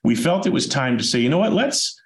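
A man hosting a webinar in a relaxed, conversational way, his voice hoarse, raspy and dry from a chest cold. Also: mid-range voice, fairly quick. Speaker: webinar host. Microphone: video call. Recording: echoey room over a video call.

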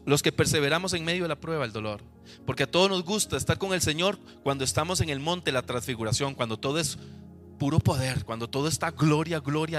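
Bright-voiced Costa Rican pastor preaching. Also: male voice, mid-range voice. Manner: emphatic preaching with repeated rhetorical questions to the congregation. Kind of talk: sermon.

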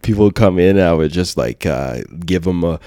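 A man in his thirties telling a casual story as a podcast guest, rambling with many fillers and trailing off quietly. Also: low-pitched, expressive, fairly quick, no room sound, studio quality. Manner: rambling, lots of fillers, drops to a mutter.

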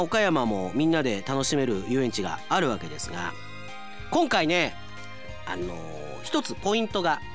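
A man hosting a radio show as announcer, talking conversationally and reading out listener mail in an animated way. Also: mid-range voice.

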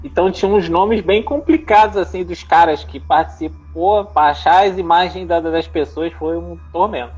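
A man co-hosting a podcast chat, relaxed and reflective, laughing easily. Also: fairly high pitch, normal speed, hardly any pauses, slightly rough, highs cut off. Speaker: podcast co-host and editor. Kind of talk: casual chat.

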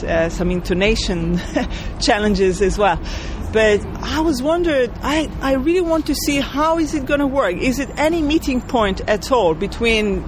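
Woman composer from Israel, interview guest, careful phrasing, outdoor traffic bed. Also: mid-range voice. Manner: thoughtful, searching for words, laughs lightly. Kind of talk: interview answers.

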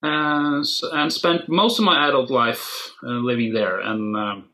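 A middle-aged man with a Norwegian accent, an interview guest telling his story slowly, with many pauses and fillers. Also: mid-range voice, steady tone, pushed and bright, rough recording. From Norway. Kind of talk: casual interview.

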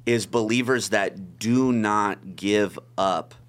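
A man's voice: fairly low pitch, slow. A man from Long Beach talking earnestly on a podcast, often restarting his sentences. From Long Beach, California. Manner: earnest, repeats words when starting a thought. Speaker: podcast guest. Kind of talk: casual podcast conversation.

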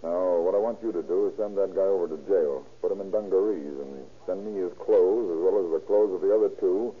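A man's voice: low voice, a little fast, slightly rough. An American man playing a matter-of-fact, self-assured police chemist in a 1930s radio crime drama. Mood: confident, explaining. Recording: muffled, tinny old radio recording.

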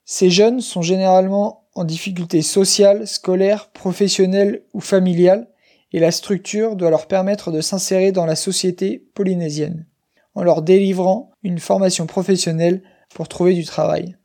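A man in his twenties from western France, reading a scripted personal story slowly and clearly for language learners. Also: high voice, steady tone, dark tone. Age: 20s. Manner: slow, clear, careful reading. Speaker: guest speaker.

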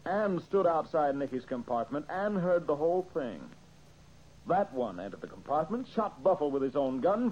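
An actor playing a confident, methodical male detective in an old-time radio mystery, explaining with emphasis. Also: a little high. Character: brisk, confident male detective laying out a case. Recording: muffled old radio recording.

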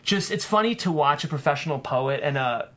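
A man's voice: a little high, animated, brisk, a bit bright. A man being interviewed as a screenwriter, explaining things thoughtfully in casual talk peppered with "like".

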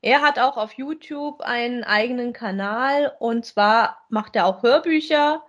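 A woman's voice: a little high, measured pace. A woman, chatting casually as a podcast co-host.

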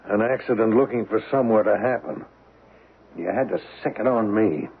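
A gravelly, cynical American embassy man in a vintage radio thriller. He is wry and clipped, used to giving orders, with a husky edge to his voice. It comes through thin and tinny, like an old broadcast. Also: on the low side, normal speed. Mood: sardonic. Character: brisk, cynical American embassy official, male. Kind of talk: old-time radio drama acting.

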